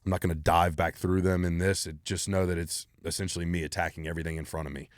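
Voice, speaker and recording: A very low-voiced man hosts a solo Q&A podcast. He talks in a blunt, driven way and swears freely. The sound is close and dry, with no room echo. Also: little pitch movement, fast talker. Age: 30s. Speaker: podcast host.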